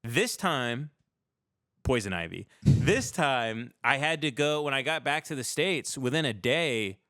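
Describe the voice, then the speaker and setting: A man's voice: mid-range voice, expressive, a little slow, a bit bright, no room sound, clean studio sound. A man hosting a livestream in a casual comedic chat, rambling through irreverent, profane stories. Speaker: livestream show host.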